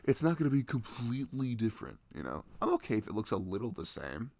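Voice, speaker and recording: A man's voice: mid-range voice, very animated, quick pace, gravelly, darkish tone, dry recording. A young adult man chatting casually and enthusiastically about video games in a rambling, slangy way, close to the microphone.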